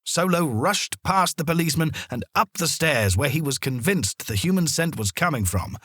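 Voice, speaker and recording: A man narrating a children's story in a dramatic, expressive manner. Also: mid-range voice, breathy edge, dry recording, clean studio sound.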